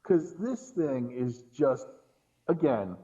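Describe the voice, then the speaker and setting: A man's voice: mid-range voice, animated, slow, warm tone, highs cut off. A man talking casually about films as a podcast guest, in an incredulous, emphatic way, often repeating words for emphasis. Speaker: podcast guest and film commentator. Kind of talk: casual film discussion.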